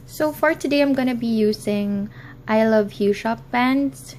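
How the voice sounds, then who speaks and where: A woman's voice: on the high side, a little slow, darkish tone, slight background noise. A young woman hosting a crafting tutorial, talking hesitantly with many ums and apologies, recorded in an office. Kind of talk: casual how-to tutorial.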